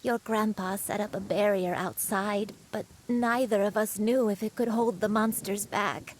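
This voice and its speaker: A woman voicing a frail, gentle old grandmother in a dramatic video game, telling her story in a tender, halting, breathy way. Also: medium pitch, fairly even intonation, a little hiss or hum, lightly acted. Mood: haunted, solemn.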